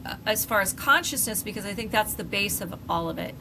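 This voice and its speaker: An older woman co-hosting a podcast in casual chat, rambling and often pausing to search for words. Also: mid-range voice, expressive, gravelly. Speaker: podcast co-host. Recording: faint background noise.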